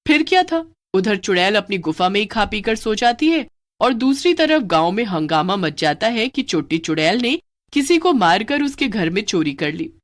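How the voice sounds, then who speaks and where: A woman's voice: medium pitch, big pitch swings, normal speed. Woman narrating a cartoon horror story.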